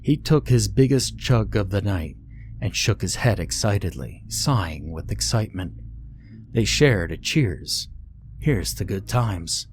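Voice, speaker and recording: A man's voice: fairly low pitch, lively intonation, unhurried, slight background noise. A man reading a scripted horror story as its narrator.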